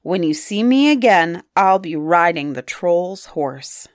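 A woman's voice: on the low side, expressive, slow, no echo, clean studio sound. A woman voicing a bold, cheeky young boy in a storybook, gleeful and taunting. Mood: cocky.

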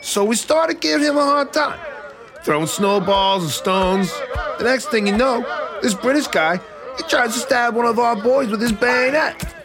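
An actor playing a brash young man from Boston with a Boston accent, telling a wild story excitedly. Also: extremely high pitch, moderate pace, over music.

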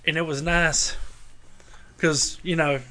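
A man's voice: on the high side, level tone, slow, bright, forceful tone, slight background noise. A man hosting a casual podcast, talking in a crude, opinionated way and swearing freely. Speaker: podcast host.

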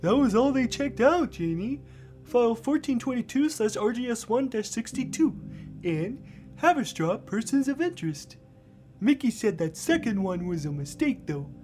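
A childlike, sweet-toothed records clerk, high and wildly swooping in pitch. The delivery is naive, halting and giggly, in audio drama style, with music underneath. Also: female voice, very animated, a little mellow. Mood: hesitant, earnest.

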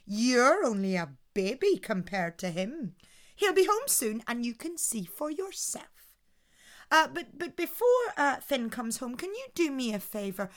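A woman's voice: sits high, fairly bright tone, pitched above the performer's own voice, exaggerated voice. A woman voicing a cunning, clever wife who sweet-talks a giant with sly hesitant chatter.

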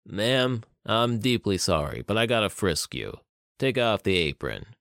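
A man voicing a gruff, businesslike older criminal for an audiobook, with a curt, commanding drawl. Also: on the low side, sing-song, measured pace, a bit bright, dry recording, studio quality, heavily acted. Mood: calm, commanding.

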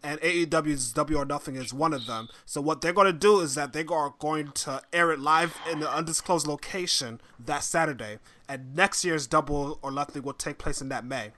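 A man co-hosting a podcast with casual commentary, emphatic and quick to jump in. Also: a little high, bright, forceful tone. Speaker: podcast co-host.

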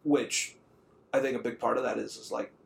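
Man, around thirty, podcast co-host, casual, rambling, medium pitch. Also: steady tone, moderate pace, gravelly, slight background noise. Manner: rambling, conversational. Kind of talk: casual chat.

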